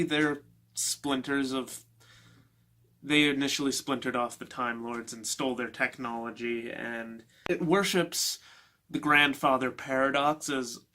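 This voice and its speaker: A man giving a casual, unscripted book review in an informal, opinionated way, halting as he gathers his thoughts. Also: mid-range voice, bright, forceful tone.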